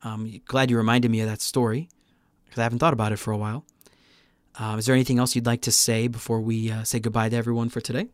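A man hosting a casual podcast, talking in a relaxed, chatty way and laughing often. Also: mid-range voice, fast talker, no room sound, studio quality. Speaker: podcast host.

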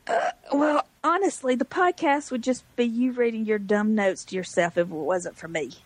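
A woman's voice: fairly high pitch, moderate pace, dry recording. A woman with a Southern US accent, co-hosting a podcast in casual, teasing chat. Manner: wry, teasing, drawling. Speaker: podcast co-host.

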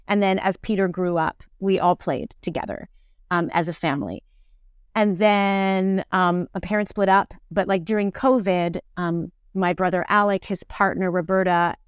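A woman's voice: fairly low pitch, clear, resonant tone, no echo, studio quality. A middle-aged woman, an actor, chatting casually as an interview guest and thinking aloud as she goes.

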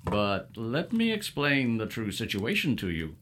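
A man playing a devout, hospitable father of biblical times in an audio drama, speaking in a formal, expressive way. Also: medium pitch, unhurried, a little hiss or hum. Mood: solemn. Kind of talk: full-cast audio drama acting.

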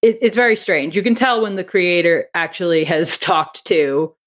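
A woman's voice: on the low side, moderate pace. A woman speaking as an interview guest, a creator explaining her work in a casual, talkative, loosely structured way. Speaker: interview guest, audio drama writer and director.

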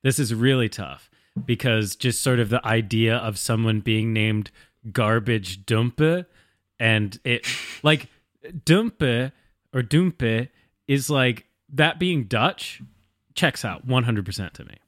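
Male panelist, mid-pitched, rambling comic chat, thinking aloud, recorded close and dry. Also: expressive, slow, a bit bright, no room sound. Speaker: podcast panelist.

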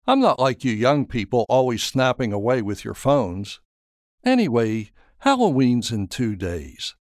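A man voicing a sensible, quietly stubborn older grandmother in an audio story. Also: medium pitch, sing-song, a little slow, dry recording, clean studio sound. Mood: earnest.

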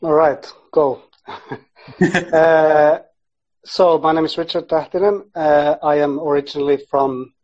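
A man from Finland speaks as a guest in a casual podcast interview. His voice sits a little high, and he feels his way through answers with hesitant pauses. The audio is somewhat dull and lo-fi. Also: steady tone. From born in Sweden, grew up in Finland, half Icelandic. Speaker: podcast guest, sports psychology researcher.

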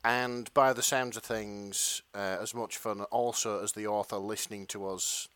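A man, co-hosting a casual podcast, chatty and quick to laugh. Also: fairly low pitch, steady tone, fairly bright tone, smooth, no room sound. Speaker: podcast co-host.

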